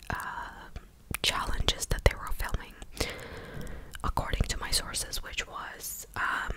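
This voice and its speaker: Young woman whispering close to the mic. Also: low-quality recording. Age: young adult. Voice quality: breathy, unvoiced whisper. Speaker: ASMR content creator. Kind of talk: whispered ASMR, casual recap of news. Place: small living room, indoors. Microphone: close desktop condenser microphone.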